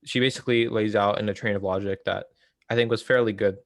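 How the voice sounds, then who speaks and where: A man hosting a casual book discussion, explaining in a halting way as he thinks aloud. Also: lowish voice, steady tone, dry recording, studio quality. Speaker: host of a political book read-along.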